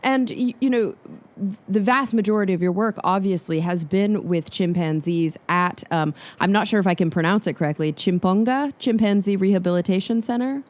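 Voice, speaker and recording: A woman's voice: mid-range voice, moderate pace. A woman hosting a podcast, asking long, careful interview questions in a friendly way. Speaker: podcast host. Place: Los Angeles.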